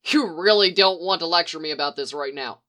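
Woman voicing a young male agent, shouting, swearing, bitter, bright sharp tone. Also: low voice, brisk. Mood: furious. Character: furious reckless male archer agent, young adult.

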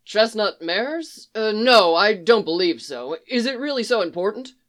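A woman's voice: mid-range voice, very animated, a little slow, fairly bright tone. Woman doing a folksy, salesy stable seller. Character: bearded middle-aged male stable seller, folksy.

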